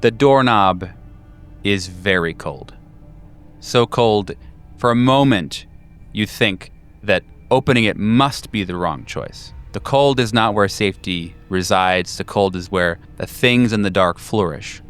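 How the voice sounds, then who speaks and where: Clear, smooth man hosting a horror game. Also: lowish voice, a little slow, fairly bright tone. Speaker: game master and host.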